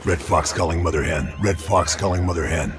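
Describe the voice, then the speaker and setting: A man as a very deep-voiced, laconic sniper. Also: fairly quick, faint music behind, close to an everyday voice. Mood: businesslike. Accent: American. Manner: laconic, dry, understated. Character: stoic, wry Allied WWII sniper and secret agent. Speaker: video game protagonist. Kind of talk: dramatic game cutscene acting.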